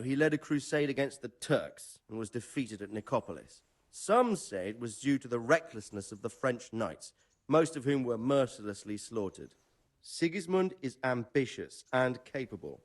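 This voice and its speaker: A knowledgeable older nobleman in a medieval video game, played by a man. He explains in a measured manner at a middling pitch, with a melody that rises and falls freely. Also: natural voice. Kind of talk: video game dramatic dialogue.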